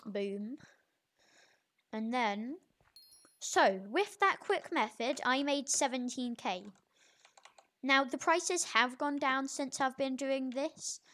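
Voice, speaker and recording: A young game video creator with a fairly high voice gives a casual tutorial. The pace is slow and halting, with informal phrasing and frequent self-corrections. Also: sharp tone. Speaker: gaming video creator, host.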